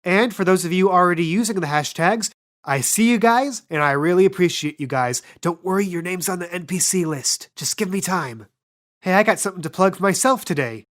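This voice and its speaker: A woman's voice: on the low side, lively intonation, brisk. A woman hosting a tabletop podcast as game master, making casual, chatty announcements.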